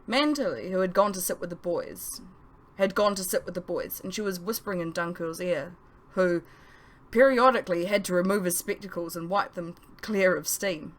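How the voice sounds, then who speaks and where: A woman reading her own novel aloud as expressive audiobook narration. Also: lowish voice, normal speed.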